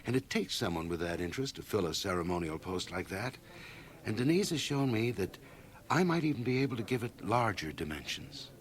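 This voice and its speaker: A wealthy, ambitious society man in a radio play. His deep voice swings expressively, and he confides with hearty, pompous self-satisfaction. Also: sing-song, a little fast. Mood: proud, enthusiastic. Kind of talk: radio drama acting. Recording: 1980 radio drama on cassette.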